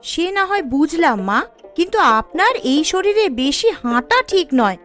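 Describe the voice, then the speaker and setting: A woman voicing a gentle, caring village mother and dutiful daughter-in-law in a cartoon, attentive and homely. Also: extremely high pitch, sing-song, moderate pace, faint music behind, full character voice. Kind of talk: Bengali family cartoon voice acting.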